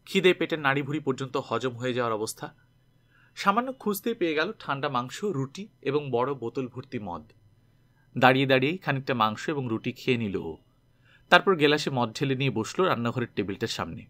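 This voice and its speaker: A man narrating a scripted story dramatically, with expressive delivery as an audiobook reader. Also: medium pitch, a little fast.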